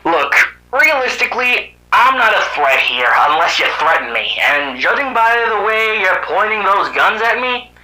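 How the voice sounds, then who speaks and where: A man voicing a sardonic cyborg animal hero from another planet in an amateur animated drama, with muttered asides and exclamations. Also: high-pitched, normal speed, hardly any pauses, full character voice. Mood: cocky, sardonic. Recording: roomy, echoing voice track.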